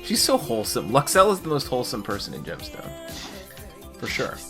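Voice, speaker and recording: A man's voice: mid-range voice, wildly swooping pitch, a little fast, pushed and bright, soft background music. A man hosting a podcast in a casual, conversational style. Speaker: podcast host.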